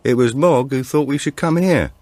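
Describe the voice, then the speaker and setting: A man's voice: medium pitch, moderate pace, darkish tone, dry recording, voice pushed up, close to an everyday voice. Menacing big-brother burglar played by a male narrator: raised voice, pushy, insistent, for a thriller audiobook.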